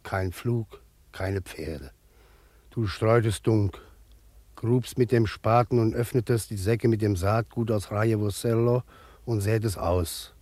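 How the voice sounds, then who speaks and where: Radio drama: solemn peasant elder, measured and slow, biblical cadence, close dry sound. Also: male voice, fairly low pitch, a little mellow, no room sound. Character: grave, steadfast narrator and peasant patriarch.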